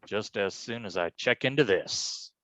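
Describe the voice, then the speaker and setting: A man's voice: on the low side, lively intonation, measured pace, fairly bright tone, no room sound, highs cut off. An actor playing a wisecracking, stubborn private detective who talks in slangy tough-guy style in a staged reading. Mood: cocky, determined. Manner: slangy, folksy tough-guy talk peppered with "huh?". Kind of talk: hardboiled detective stage acting.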